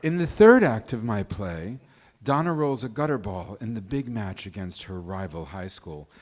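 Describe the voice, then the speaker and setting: A man's voice: on the low side, expressive, moderate pace, darkish tone. A man playing a bossy, competitive, short-tempered schemer in a live comedy table read, speaking emphatically. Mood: annoyed.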